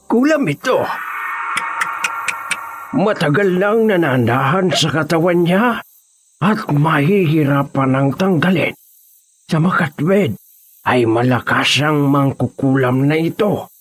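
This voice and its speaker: A man's voice: fairly high pitch, expressive, unhurried, husky, soft background music. Man playing a grave old folk healer. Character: elderly veteran male folk healer, calm and frank. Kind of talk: Tagalog horror audio drama acting.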